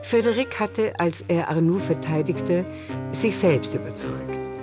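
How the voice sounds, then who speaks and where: A woman's voice: lowish voice, lively intonation, measured pace, over loud music. A woman narrating a literary radio drama in scripted prose.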